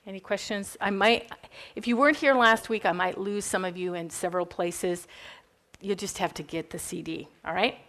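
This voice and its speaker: A woman's voice: medium pitch, fairly even intonation, moderate pace, a bit bright. A woman with a Minnesota accent teaching church history to a class in a chatty, informal way, full of fillers and asides. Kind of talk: classroom lecture. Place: classroom with a live group.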